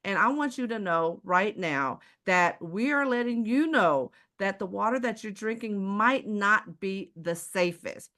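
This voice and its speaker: Texan woman, mid pitch, preachy live sales presenter, fond of rhetorical questions. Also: animated, a little slow, few pauses. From Houston, Texas. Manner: preachy, rhetorical questions, repeats "ladies and gentlemen". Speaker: presenter selling water filters.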